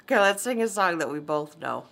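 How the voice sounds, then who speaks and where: A woman's voice: on the low side, lively intonation, fairly quick, creaky, a bit bright. A young adult woman chatting playfully and casually with a small child, coaxing and prompting with simple questions like a mother.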